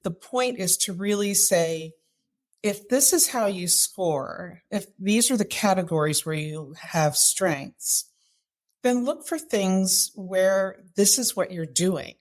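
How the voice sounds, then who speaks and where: A woman's voice: medium pitch, takes it slowly. A woman speaking as an interview guest and coach, giving direct, emphatic advice in conversation and often posing rhetorical questions. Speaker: guest author and activism coach.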